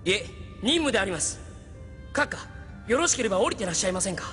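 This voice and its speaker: Young male pilot: eager, dutiful, high animated voice, long reverb and music. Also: fast. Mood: formal, eager. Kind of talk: Japanese sci-fi anime audio drama acting.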